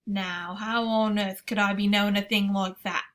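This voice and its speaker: A woman voicing a huge, kindly old male giant who speaks slowly and loudly with muddled grammar. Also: flat delivery, close to an everyday voice. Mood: musing. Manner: slow, booming, mangled words.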